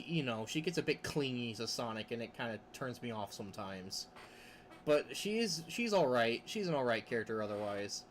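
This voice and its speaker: A man chatting casually on a livestream, rambling with restarts and laughing often between thoughts. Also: a little hiss or hum. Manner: says his voice range is pretty high. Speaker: streamer. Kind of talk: casual livestream chat, reading chat aloud.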